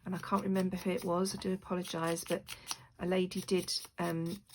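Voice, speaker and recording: A woman with a croaky voice chatting casually to her channel while making craft pieces, rambling with lots of fillers. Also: lowish voice. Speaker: crafting video maker. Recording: noisy room with clicks.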